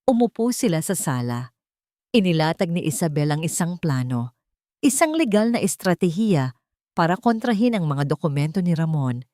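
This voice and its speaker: A woman's voice: on the low side, sing-song, normal speed, warm tone, dry recording, clean studio sound. A woman reading a scripted dramatic story as its narrator.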